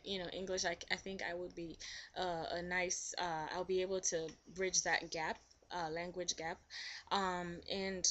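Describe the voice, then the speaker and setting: Bright-voiced Nigerian woman in her twenties. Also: on the low side, dull audio. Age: 20s. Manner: thoughtful, with many ums. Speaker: interviewee. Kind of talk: casual interview answers.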